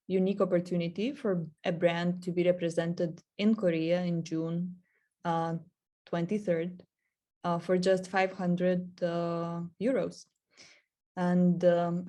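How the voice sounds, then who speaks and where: A woman's voice: lowish voice, warm tone. A woman hosting an online webinar, introducing guests with frequent hesitations. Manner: hesitant, many ums. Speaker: webinar host.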